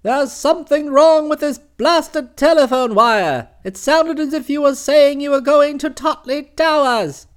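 A man voicing a booming, imperious older aunt who blusters and scolds. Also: a little slow, few pauses. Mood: blustering.

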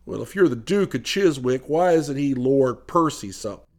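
A stout, cold-eyed American businessman from a comic storybook, acted by a male reader. His voice sits low, and he talks bluntly, with stubborn suspicion and a matter-of-fact air. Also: animated, normal speed, lightly acted. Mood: suspicious.

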